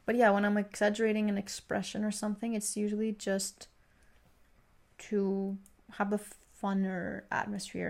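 Creaky-voiced young woman with slight Greek accent. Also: mid-range voice, a little mellow. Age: young adult. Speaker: podcast co-host. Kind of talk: casual conversation, sharing work experiences.

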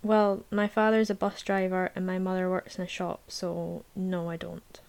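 A woman's voice: on the low side, flat delivery, normal speed, gravelly, darkish tone. A wry, joking young man , played by a woman reading aloud. He teases with a mock-offended, dry humor. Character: teasing young man student at a party.

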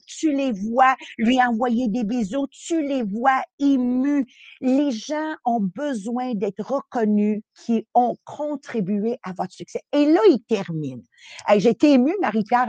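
A woman with a Quebec French accent speaks as a motivational podcast host. Her voice sits fairly high and the sound is very dry. She is heartfelt and emphatic, repeating key phrases to drive them home. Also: darkish tone, no room sound. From born in Italy. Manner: slips English phrases into French. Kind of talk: live motivational talk.

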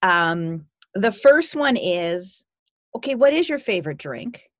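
Enthusiastic woman, slow, lively voice. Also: mid-range voice, no room sound, studio quality. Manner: enthusiastic, eager questions. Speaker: podcast co-host. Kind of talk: casual interview chat.

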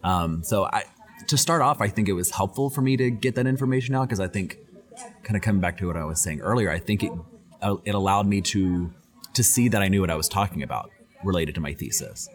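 Deep-voiced man, reflective interview guest. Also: brisk. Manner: thoughtful, repeats words while thinking, laughs easily. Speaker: podcast guest, professor. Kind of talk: casual interview answers.

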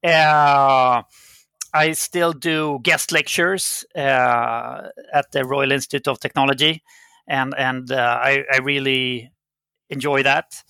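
A middle-aged man with a Swedish accent, giving conversational interview answers. His voice is bright and creaky, recorded dry, and he proceeds haltingly, often repeating words. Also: mid-range voice, steady tone, no echo. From Sweden. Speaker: interview guest, game company CEO.

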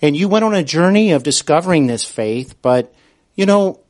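A man hosting an interview show in a conversational style, complimentary and somewhat halting as he feels out his sentences. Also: on the high side, animated, a little mellow. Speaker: podcast and radio host, interviewer.

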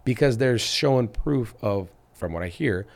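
Male podcast host, 30s, slightly low and raspy from illness, loose rambling delivery. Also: lively intonation, normal speed. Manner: rambling, frequent restarts and fillers. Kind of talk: casual chat.

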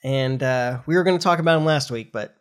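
A man's voice: medium pitch, fairly quick, clean tone. A middle-aged man with a nasal, congested voice from a recent flu, chatting casually as a podcast host. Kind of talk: casual chat, explaining news informally.

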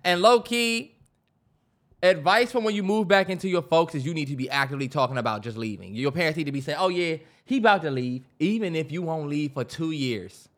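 A man's voice: on the high side, a little fast. An American man co-hosting a podcast, chatting casually with slangy, profane, emphatic phrasing. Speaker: podcast co-host. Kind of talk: casual chat.

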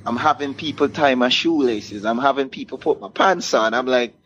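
A man's voice: medium pitch, expressive, normal speed, a bit bright. A man, a DJ guest telling casual anecdotes in an interview and acting out the dialogue. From Caribbean. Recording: muffled, clearly noisy room recording.

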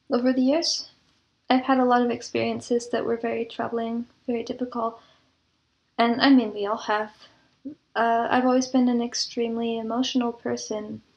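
Female interviewee, higher register with strong vocal fry, reflective and descriptive delivery. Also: lo-fi sound. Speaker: guest composer and audio drama producer.

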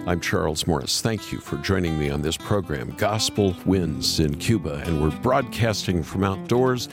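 A man hosting a ministry podcast, introducing his guest with an expressive, preacher-like delivery. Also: sits low, normal speed. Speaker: podcast host. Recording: light guitar music underneath. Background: soft guitar music.

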